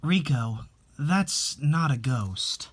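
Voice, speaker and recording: A performer playing a calm, reassuring young husband from an old family in a dramatic reading. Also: medium pitch, very slow. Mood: matter-of-fact. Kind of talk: dramatic reading of a horror manga story.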